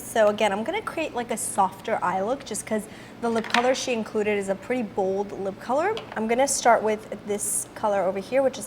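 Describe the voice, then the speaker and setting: Woman, a little raspy from a cold, casual beauty-tutorial chatter, medium pitch, noticeable hiss behind her. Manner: chatty, filler-heavy, explaining each step. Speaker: beauty vlogger. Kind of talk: casual makeup tutorial narration.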